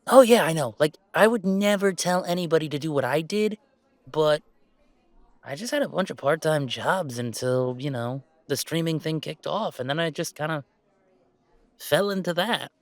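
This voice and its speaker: A man playing a laid-back, self-deprecating young gamer in a roleplay audio drama, chatting in a halting, playfully exasperated way. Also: fairly high pitch, expressive, no room sound. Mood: animated. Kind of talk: roleplay audio drama, casual one-sided conversation.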